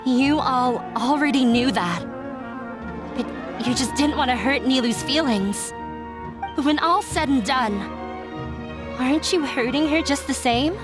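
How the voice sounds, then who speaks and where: An actress playing a frank, skeptical young woman in a game dub, speaking in a measured way. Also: high-pitched. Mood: blunt, doubtful. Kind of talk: English game dub acting. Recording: music behind the voice.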